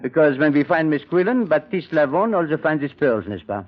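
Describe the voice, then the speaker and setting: A man's voice: fairly high pitch, very animated, brisk. A man playing a pompous, courtly French crook with a French accent and hesitant, mock-polite menace in vintage radio drama. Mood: oily, polite. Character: suave, menacing French crook, pompously proclaiming his sincerity. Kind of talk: 1940s-50s radio drama acting. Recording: muffled vintage radio broadcast.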